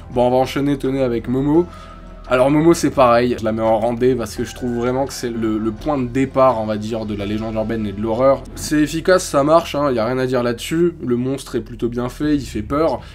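Young guy at his webcam, chatty, mid-pitch, lots of euhs, faint music behind. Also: a little mellow. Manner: casual, thoughtful, many fillers and restarts. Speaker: channel host. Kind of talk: ranking urban legends in a tier list. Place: indoor room, webcam framing.

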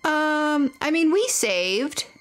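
A woman's voice: sits high, sing-song, very slow, a bit bright. A woman game streamer casually playing a horror game and reading its text aloud. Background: game music.

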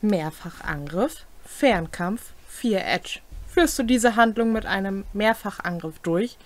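A woman's voice: medium pitch, expressive, slow pace. A young woman co-hosting, reading tabletop game rules aloud and chatting casually. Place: small home room, indoors.

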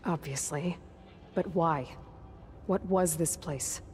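A young warrior woman in a video game. Her voice sits slightly low, with a determined, dryly sarcastic edge as she presses for answers. Faint ambience sits behind her. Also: takes it slowly, darkish tone, a little hiss or hum. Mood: impatient questioning. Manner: dry, sarcastic, pressing questioner. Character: determined, sardonic young female warrior hero. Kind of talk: video game dramatic acting.

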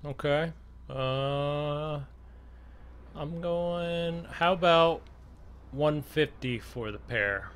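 A man's voice: a little high, very slow, pauses often, a bit bright, a little hiss or hum. A laid-back man in casual gaming role-play as a farmer, talking hesitantly. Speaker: host, gamer role-playing as farmer buyer.

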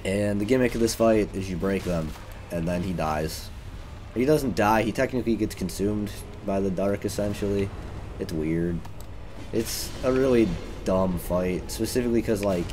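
Man, low voice, casual let's play commentary, wry, rambling, noisy game ambience behind. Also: moderate pace. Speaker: let's player.

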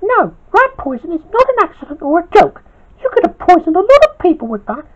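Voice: very high-pitched, very animated, brisk, pitched above the performer's own voice. An amateur storyteller voicing a stern, bossy female restaurant boss who scolds in exasperation. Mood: angry scolding. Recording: dull, lo-fi home recording.

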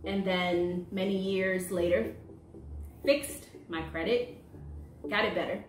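A woman's voice: medium pitch, slow, slight background noise. A woman in her thirties who was raised in the United States, co-hosting a couple's vlog and telling personal stories with frequent laughter, recorded at a desk microphone in a small room at home. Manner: laughs often, lively storyteller. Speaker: co-host of a married couple's vlog. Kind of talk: casual chat and personal storytelling. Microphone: desk condenser mic, wearing headphones. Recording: echoey room.

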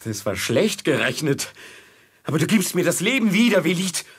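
Ein Schauspieler spielt in einem Hörspiel der 1950er einen versklavten, höflichen und leidenschaftlichen Edelmann mit belegter Stimme. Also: male voice, a little high, big pitch swings, moderate pace, slightly rough, exaggerated voice. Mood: excited, scheming. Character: noble merchant enslaved, deferential yet passionate schemer. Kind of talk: 1950s German radio play acting. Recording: 1950s mono radio drama with music.

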